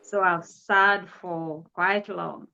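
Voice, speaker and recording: Low-voiced woman pastor, lo-fi. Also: steady tone, gravelly, bright, forceful tone. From Kenya. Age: 40s. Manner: earnest, grateful, measured with frequent "uh" pauses. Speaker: pastor and guest. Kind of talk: testimony interview. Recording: dull lo-fi audio.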